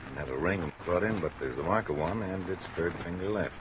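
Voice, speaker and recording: An actor playing a middle-aged police lieutenant, blunt, businesslike and weary, in 1950s radio detective drama style. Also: male voice, low-pitched, brisk, lightly acted. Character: brisk, weary, hard-pressed male police lieutenant. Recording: old radio recording under heavy rain noise.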